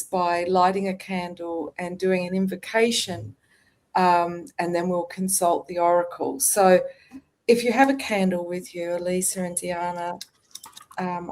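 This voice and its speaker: A woman hosting a spiritual podcast over a video call, speaking in a slow, reverent, ceremonial way as she leads an invocation. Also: lowish voice, darkish tone, a little hiss or hum. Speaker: podcast host.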